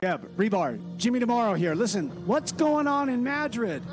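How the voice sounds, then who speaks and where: A man's voice: very high voice, sing-song, moderate pace, over music. A man playing a chatty, nosy caller on a comedy radio show, chummy and full of questions. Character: chatty, nosy male caller, breezy and puzzled.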